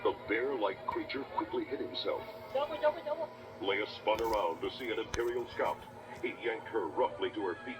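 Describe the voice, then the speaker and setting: A man's voice: fairly low pitch, normal speed, rough recording. A man giving dramatic scripted narration for a children's read-along adventure. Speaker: storybook narrator. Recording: old cassette, music behind. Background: music.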